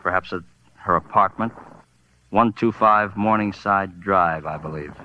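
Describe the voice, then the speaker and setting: A man's voice: low-pitched, a little slow. A man playing a glib, fussy laboratory assistant in a 1940s radio drama, chatty and precise. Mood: hesitant. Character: fussy, glib male seismology lab assistant. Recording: muffled, noisy 1940s radio recording.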